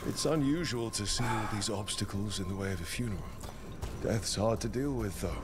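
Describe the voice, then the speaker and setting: A man playing a supportive warrior hero in a video game, calm, reassuring and measured. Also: on the low side, close to an everyday voice. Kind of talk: video game character dialogue. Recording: loud game music underneath.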